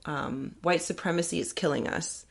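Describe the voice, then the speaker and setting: A woman's voice: low-pitched, moderate pace, gravelly. A woman, a climbing guide, talking frankly and earnestly in a casual interview, laughing easily. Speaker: climbing guide and podcast guest.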